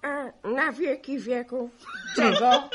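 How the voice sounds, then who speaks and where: A young man in a 1960s Polish radio serial acting a village boy who reads a gruff old peasant woman's part in a thin, piping voice. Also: very high voice, takes it slowly, noisy background. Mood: crabby, declaiming.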